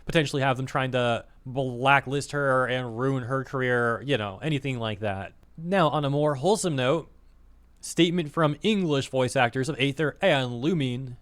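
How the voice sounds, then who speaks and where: A man rants in casual commentary, scornful and full of fillers, with his words tumbling out in quick bursts. His voice is slightly hoarse, as if he is losing it. Underneath, the tone stays smooth and resonant. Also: mid-range voice, fairly bright tone. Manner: scornful, filler-heavy, talks fast in bursts. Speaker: vtuber commentator.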